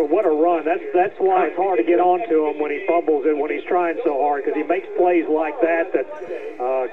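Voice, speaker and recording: A man giving measured, conversational game analysis as a football sideline reporter on radio. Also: fairly high pitch, phone-quality sound. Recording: band-limited radio broadcast sound.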